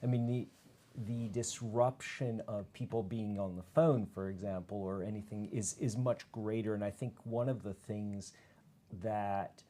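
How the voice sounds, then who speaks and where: A man giving his expert view on a panel conversationally, with tentative, halting phrasing. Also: on the low side, fairly even intonation, dark tone. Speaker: panel speaker.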